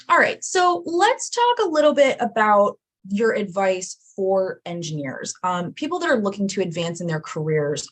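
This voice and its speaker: A woman from the United States hosting a casual interview, chatty and full of "you know" and "like". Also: mid-range voice. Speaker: host.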